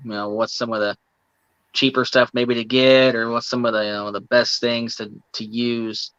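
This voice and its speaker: Midwestern dude cohosting, smooth voice but kinda dull lo-fi sound, lots of "like" and "you know". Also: male voice, mid-range voice, flat delivery, a bit bright, no echo. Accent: Midwestern American. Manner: halting, many "you know" and "like" fillers. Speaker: co-host. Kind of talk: casual live talk show chat.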